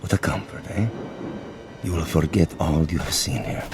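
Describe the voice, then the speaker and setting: A man's voice: very deep voice, moderate pace, gravelly, background noise. A man acting a stern monk jailer in a radio drama, solemn and formal, soothing on the surface and unyielding beneath. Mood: ominous calm. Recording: music bed under the voice.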